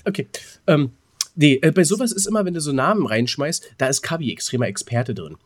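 A man's voice: fairly high pitch, animated, brisk. A man co-hosting a podcast in casual, colloquial chat, repeating words as he thinks aloud. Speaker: podcast co-host.

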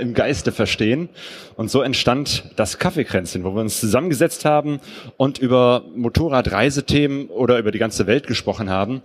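A man from the Cologne area co-hosting a live motorcycle travel podcast on stage, easygoing and joking. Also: mid-range voice. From Germany, Cologne area. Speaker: podcast host.

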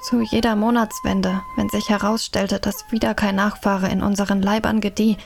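A woman's voice: medium pitch, flat delivery, moderate pace, creaky, faint music behind, close to an everyday voice. A woman playing an enslaved young woman in a radio play, recounting her captivity in a subdued way.

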